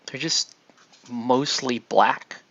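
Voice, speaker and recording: A man chatting casually on a livestream while he paints miniatures, rambling and excitable, often breaking off mid-sentence. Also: medium pitch, extremely slow, gravelly, highs cut off. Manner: rambling, excitable, self-interrupting with laughs.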